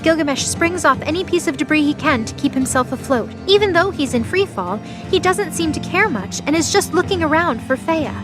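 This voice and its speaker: A woman narrates an audio drama in a high-pitched voice. Her delivery is scripted, dramatic storytelling. Music plays clearly behind her. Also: animated, a little fast, few pauses.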